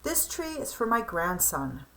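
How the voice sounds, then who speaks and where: Woman as an affectionate, animated old grandfather. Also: a little high, a little slow, heavily acted, low-quality recording. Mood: fond. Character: affectionate, proud old grandfather telling family memories.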